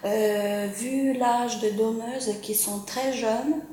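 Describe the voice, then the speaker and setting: Slow, halting woman. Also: fairly high pitch, steady tone, roomy sound, noisy background. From Ukraine. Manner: hesitant, halting, laughs softly. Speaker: patient. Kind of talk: interview testimony.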